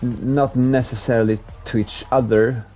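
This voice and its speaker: A man's voice: mid-range voice, moderate pace, soft background music. Swedish man chatting while gaming. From Sweden. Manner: relaxed, rambling, with restarts while playing. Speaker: streamer. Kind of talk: casual chat while gaming live.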